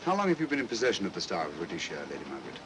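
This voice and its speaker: An actor playing a shrewd, self-assured English gentleman detective in a 1940s film, speaking with crisp, courteous authority. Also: huge pitch swings, rapid-fire. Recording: noisy 1940s film soundtrack, train rumble.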